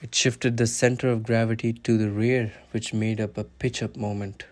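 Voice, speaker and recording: A man's voice: fairly low pitch, little pitch movement, moderate pace. A man narrating a scripted documentary, explaining events step by step.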